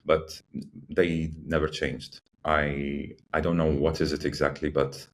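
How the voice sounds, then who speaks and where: A man in his forties from Iraq, a podcast guest speaking in a measured, hesitant way with many fillers and restarts. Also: extremely low pitch, little pitch movement, slight background noise. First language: English learned first, also Arabic. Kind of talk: reflective interview talk.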